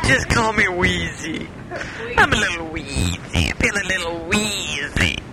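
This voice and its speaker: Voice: medium pitch, rough recording. An adult chatting casually with their mother, giggling, silly and playful. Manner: giggly, silly, breaks into song and goofy voices. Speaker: adult child recording with mother. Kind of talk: casual chat.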